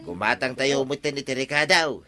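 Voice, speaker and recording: A man's voice: medium pitch, brisk, bright, forceful tone, lightly acted. A man with an Ilocano accent playing a persuasive village fisherman in a radio comedy drama. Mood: conspiring.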